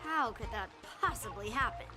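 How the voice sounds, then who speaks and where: Woman playing a high-voiced, brash young swordswoman. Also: expressive, moderate pace, lightly acted. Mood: proud, amused. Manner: blunt, feisty. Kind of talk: video game voice acting. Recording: loud music behind.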